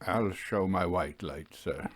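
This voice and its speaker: A man playing a troubled, deferential railway signalman in a ghost story, grave and halting, in a low, hushed voice. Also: a little hiss or hum. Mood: uneasy warning. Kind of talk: dramatised audiobook ghost story.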